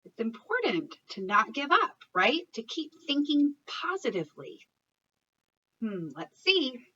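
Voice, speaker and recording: A woman reading a picture book aloud as an educator, talking to children and parents in an expressive, encouraging, questioning way. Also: sits high, measured pace, husky, recorded from a distance, lo-fi sound.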